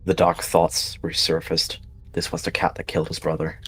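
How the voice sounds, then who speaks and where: Deep, husky male story narrator. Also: monotone, moderate pace, fairly bright tone. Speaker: roleplayer narrating a character. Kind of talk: dramatic third-person story narration.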